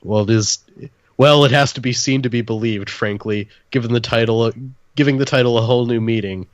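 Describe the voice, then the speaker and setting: A man's voice: medium pitch, a little fast, no room sound, muffled recording. A man co-hosting a podcast, chatting casually about films in a wry, jokey way and sometimes reading passages aloud. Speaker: podcast co-host. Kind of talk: casual comedic film chat, reading article passages aloud.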